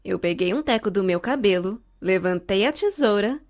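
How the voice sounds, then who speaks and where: A woman with a Brazilian accent giving a scripted comedic narration for an animated story, expressive and playfully sarcastic. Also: medium pitch, moderate pace. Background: music.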